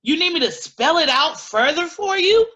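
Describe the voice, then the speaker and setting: A woman's voice: very high voice, expressive, moderate pace, bright, forceful tone, full character voice. An actress in a staged play reading, playing a touchy, indignant, blunt-spoken woman. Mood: indignant anger. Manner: blunt, confrontational, emphatic.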